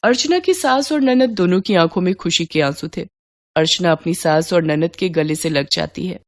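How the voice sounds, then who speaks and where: A woman's voice: lowish voice, expressive, normal speed. A woman narrating a scripted moral story.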